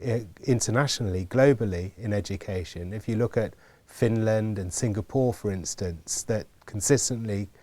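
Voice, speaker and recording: A man giving a documentary interview about education, measured and hesitant, often pausing on fillers and repeating words. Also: on the low side, a little mellow.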